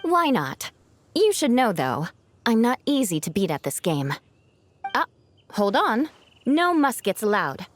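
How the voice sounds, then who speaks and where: A woman's voice: a little high, wildly swooping pitch, a little slow, long pauses, a little hiss or hum. A woman voicing a confident, sporting young knight in a video game, poised and politely competitive. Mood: playfully competitive.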